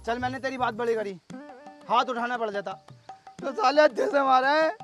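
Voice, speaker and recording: Man acting high-voiced bossy village election candidate. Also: normal speed, a bit bright, faint music behind. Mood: angry, aggrieved. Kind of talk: Hindi village comedy sketch acting.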